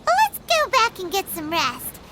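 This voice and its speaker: A small floating fairy companion with a very high, wildly swooping voice. She is bubbly and refers to herself by name. The game audio carries loud noise beneath her. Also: huge pitch swings, measured pace, exaggerated voice. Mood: cheerful. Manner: bubbly, speaks of herself in third person. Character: tiny floating female fairy companion, chirpy and excitable. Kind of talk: video game voice acting.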